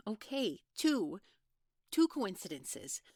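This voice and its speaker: A woman playing a guarded, awkward young woman in an audio drama, speaking naturally in a halting, hesitant way. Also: mid-range voice, sing-song, a little mellow, dry recording, clean studio sound. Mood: agitated, defensive. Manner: hesitant, halting, trailing off, nervous laughs. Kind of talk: naturalistic one-sided audio roleplay acting.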